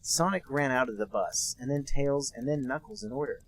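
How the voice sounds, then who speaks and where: A man co-hosting a podcast, reading a bad fan story aloud in a deadpan, mock-serious way. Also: mid-range voice, brisk, low-quality recording. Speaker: podcast co-host reading a fanfic. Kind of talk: comic dramatic reading.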